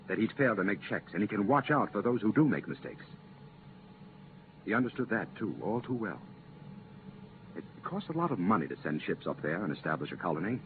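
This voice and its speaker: An earnest official of a space commission in a vintage radio drama. His low voice rises and falls strongly as he explains hard choices with firm, regretful emphasis. The recording is muffled, with clear background noise. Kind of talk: 1950s radio drama acting. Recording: muffled, noisy 1950s radio transcription.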